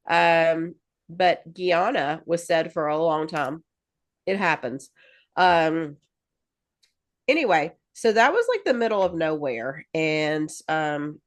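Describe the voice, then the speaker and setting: A woman's voice: fairly low pitch, fairly bright tone. A woman co-hosting a podcast in casual chat, rambling with lots of fillers and restarts and laughing easily. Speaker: podcast co-host.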